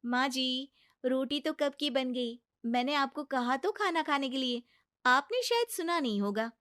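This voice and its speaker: A woman's voice: sits high, animated, measured pace, fairly bright tone. A woman voicing a young lawyer daughter-in-law in a Hindi cartoon story, earnest, protesting and exasperated. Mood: polite explaining.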